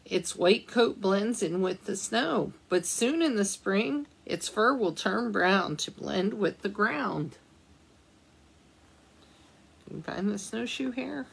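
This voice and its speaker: A woman librarian reading a picture book aloud at storytime, slowly and gently, pausing to ask the children questions. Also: mid-range voice, vocal fry, breathy edge.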